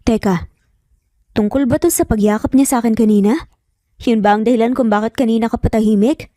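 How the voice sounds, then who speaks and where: A woman's voice: on the high side, a little fast, warm tone, dry recording, studio quality, close to an everyday voice. A woman voicing a concerned, earnest man, a boss and father, in an audio romance. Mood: confused, explaining.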